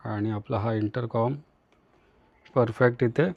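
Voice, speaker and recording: A man's voice: medium pitch, fairly even intonation, measured pace, vocal fry, a little mellow, dull audio. A man with an Indian accent from Maharashtra gives a casual hands-on tutorial, talking to viewers in short, repeated instructional phrases. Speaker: vlogger, tutorial host.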